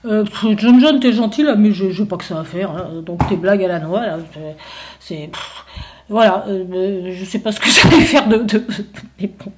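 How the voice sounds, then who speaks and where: A woman's voice: mid-range voice, normal speed, darkish tone, lightly acted. A woman voicing a stubborn shepherd who defends his homeland, indignant and incredulous, with lots of hesitations.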